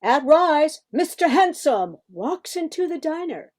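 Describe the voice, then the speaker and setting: High, animated woman narrator. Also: a little slow. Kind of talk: scripted narration of a radio play.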